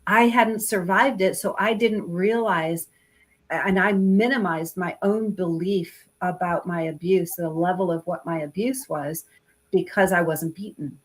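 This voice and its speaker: A woman's voice: fairly low pitch, measured pace. A woman guest on an online talk, speaking emphatically and telling personal stories with energy. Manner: emphatic, animated storyteller. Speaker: guest expert. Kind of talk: casual chat.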